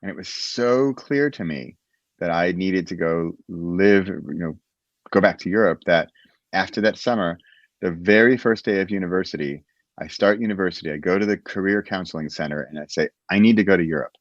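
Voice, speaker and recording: Man, American accent, very low voice, rambling and restarting, dull dry recording. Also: fairly quick, no echo. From United States. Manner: rambling, restarts often, storytelling. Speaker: guest. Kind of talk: casual interview chat.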